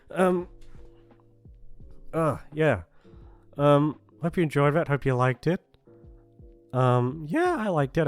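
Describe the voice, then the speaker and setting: A man chatting casually and awkwardly to his listeners as a channel host, rambling and self-deprecating, with many halting pauses. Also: medium pitch. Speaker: voice actor hosting his channel. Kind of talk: rambling casual outro chat.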